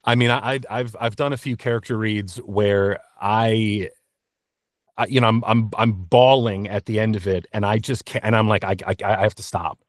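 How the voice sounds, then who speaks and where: A man's voice: fairly low pitch, steady tone, moderate pace, no room sound. Casual man chatting, close dry sound. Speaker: interview guest, voice actor. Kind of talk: casual interview chat.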